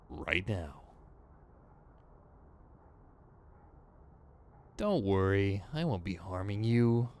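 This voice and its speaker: A man's voice: lowish voice, a little mellow, a little hiss or hum. Man acting a theatrical supervillain, wildly swooping delivery. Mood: menacing, resolute. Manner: theatrical, sardonic, rambling grumbles. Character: theatrical male supervillain, exasperated, smug, mock-menacing. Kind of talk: scripted ASMR roleplay acting.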